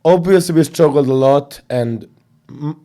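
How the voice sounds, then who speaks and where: A young man from Serbia, a boxing coach, chatting casually as an interview guest in animated storytelling fashion. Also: fairly high pitch, unhurried. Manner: animated storytelling with slang fillers.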